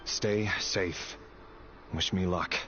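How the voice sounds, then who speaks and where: Game rebel, male, low register, resolute and measured, noisy backdrop. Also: fairly even intonation. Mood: grim resolve. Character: resolute rebel man on a rescue mission. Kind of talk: scripted game dialogue. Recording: dull game audio with clear background noise.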